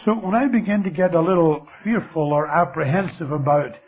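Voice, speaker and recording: An older man with a Scottish accent preaching a sermon, slow and expressive, letting his voice fall very quiet at the ends of phrases. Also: on the high side, husky. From Scotland. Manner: slow, lively delivery with dramatic drops to near whisper. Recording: thin phone-like radio broadcast.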